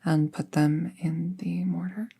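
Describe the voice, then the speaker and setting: A woman plays a friendly young apprentice witch in an intimate audio roleplay. Her voice is low and mellow, breathy and often close to a whisper. She takes her time, murmuring in a casual, reassuring way. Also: little pitch movement, measured pace, exaggerated voice. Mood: focused, calm. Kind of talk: roleplay audio drama, soft ASMR-like delivery.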